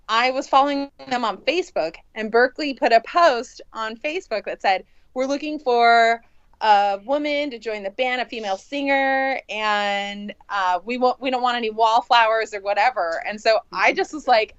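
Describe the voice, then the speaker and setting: A woman's voice: a little high, normal speed, clean tone, no room sound. A woman chatting informally in an interview, telling stories in a loose conversational way. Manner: chatty, informal storytelling with frequent "like". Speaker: band member, bassist and singer. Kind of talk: casual interview chat. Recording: dull, lo-fi close dry recording.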